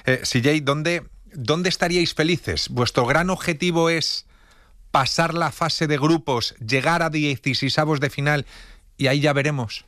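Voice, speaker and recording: A man's voice: fairly high pitch, unhurried, a bit bright, no echo. Spanish man hosting, dry close sound. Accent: Spain Spanish. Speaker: interview host. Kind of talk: conversational sports interview.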